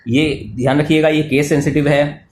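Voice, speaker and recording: An Indian man giving an unscripted step-by-step product demonstration as a tutorial presenter, repeating himself and mixing in English terms in a halting way. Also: a little high, level tone, darkish tone. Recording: echoey room, faint background noise.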